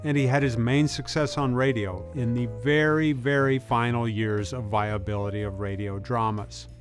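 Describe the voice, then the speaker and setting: A man's voice: mid-range voice, steady tone, normal speed. Man narrating over prominent guitar music. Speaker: video essayist, narrator. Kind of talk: scripted documentary narration. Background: music bed with guitar.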